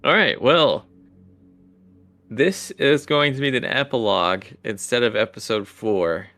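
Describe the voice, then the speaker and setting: A man's voice: medium pitch, a little slow, fairly bright tone, soft background music. A man chatting casually and narrating as game master of a tabletop podcast.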